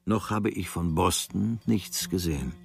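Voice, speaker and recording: A man reading a travel diary as scripted literary radio narration, in measured, observational short phrases. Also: low-pitched, vocal fry, over loud music. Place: studio.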